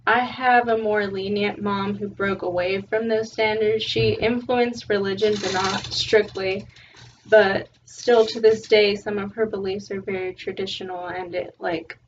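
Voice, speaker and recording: College girl from california, sitting back from the mic, muffled lo-fi sound. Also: mid-range voice. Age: young adult. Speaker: guest. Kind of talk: casual podcast chat. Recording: distant dull lo-fi mic with faint hiss.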